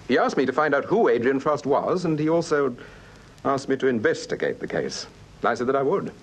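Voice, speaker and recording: An actor playing a calm, probing gentleman detective in a British radio mystery, with Received Pronunciation and measured, urbane questioning. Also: medium pitch. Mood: matter-of-fact. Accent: English Received Pronunciation. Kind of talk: British radio drama acting.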